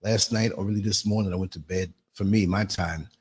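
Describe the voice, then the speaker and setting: A man in his late fifties with a husky voice, hosting an informal motivational livestream monologue and repeating phrases for emphasis. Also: sits low, steady tone, fairly quick. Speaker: livestream host and podcaster.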